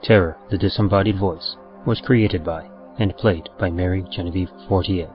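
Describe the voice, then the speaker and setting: A man reading scripted credits as a podcast announcer, in a measured, formal way. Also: low voice, steady tone. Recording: dull lo-fi sound under music.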